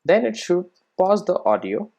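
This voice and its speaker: Man teaching code with lively, swinging intonation. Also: sits high, normal speed, vocal fry. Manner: checks understanding with frequent tag questions. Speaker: programming tutorial instructor. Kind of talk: unscripted step-by-step screencast tutorial.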